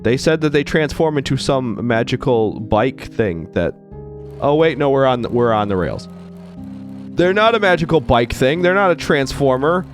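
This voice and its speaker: A man's voice: medium pitch, animated, normal speed, faint music behind. A man playing a game and chatting through it, playful and rambling, still getting over losing his voice. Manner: playful, rambling, self-mocking. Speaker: gaming channel host. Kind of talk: Let's Play commentary with character voices.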